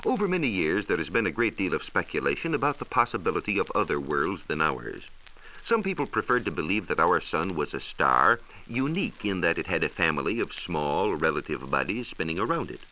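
An American man explaining science in a measured, precise, didactic way, in a scripted 1940s radio style. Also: low voice, very animated, pushed and bright, low-quality recording. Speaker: science reporter explaining astronomy. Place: radio studio. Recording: hissy 1940s radio transcription disc.

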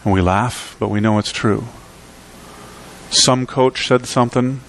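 A middle-aged man preaching in a church, speaking from a lectern with emphatic, rhythmic delivery that swings between loud peaks and quiet drops. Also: measured pace, slight background noise, poor audio. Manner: emphatic preaching with sweeping pitch and volume swings. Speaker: pastor. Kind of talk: sermon. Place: church sanctuary.

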